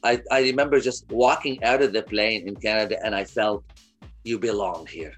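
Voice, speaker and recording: A man's voice: fairly low pitch, normal speed, faint music behind. A man in his fifties, from Kuwait, telling his life story as an interview guest, earnest and often restarting his sentences. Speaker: guest, media company founder.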